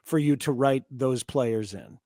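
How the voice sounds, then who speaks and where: A man's voice: medium pitch, dark tone, no echo, clean studio sound. A man hosting a conversational sports interview, repeating words as he forms his thoughts. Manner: halting, repeats words while forming thoughts. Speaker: podcast host interviewer.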